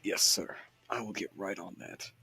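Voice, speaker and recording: A man's voice: low voice, moderate pace, gravelly, pushed and bright, slightly rough, dry recording, full character voice. A man voicing an obedient, cautious villain's henchman in an amateur comic dub, deferential in manner.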